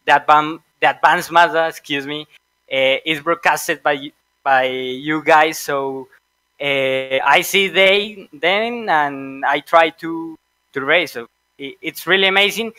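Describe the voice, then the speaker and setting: A Colombian man whose first language is Spanish, speaking English in a Colombian accent, hesitant and halting as an interviewed sim racer. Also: fairly high pitch, pushed and bright, dry recording. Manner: hesitant, halting with many fillers. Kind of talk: post-race interview.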